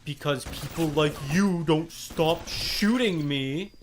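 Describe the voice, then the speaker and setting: A man's voice: fairly high pitch, slow. Male game streamer over faint noise. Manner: muttering asides to game characters. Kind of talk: casual live game commentary.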